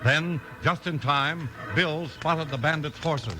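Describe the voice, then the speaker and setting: A man's voice: a little high, slow, music in the background. A man giving suspenseful scripted narration as the narrator of a 1950s radio western.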